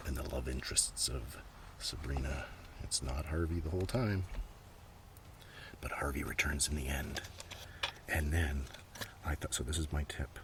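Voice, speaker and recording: A man softly rambling in casual ASMR style, hushed and at times close to a whisper, talking through things he is showing. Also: very deep voice, moderate pace, long pauses. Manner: hushed, sometimes dropping into a whisper. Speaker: ASMR video creator. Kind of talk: soft-spoken ASMR show-and-tell, rambling casual commentary. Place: outdoors in a gentle breeze. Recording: clearly noisy outdoor ambience. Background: outdoor ambient noise, gentle breeze.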